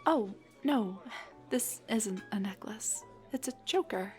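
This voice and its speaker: A woman's voice: medium pitch, animated, slow pace, soft background music. A woman playing a shy, nervous dullahan on a blind date in an intimate audio roleplay, speaking in a flustered, hesitant, rambling way. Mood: shy, pleased. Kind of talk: intimate scripted audio roleplay addressed to the listener.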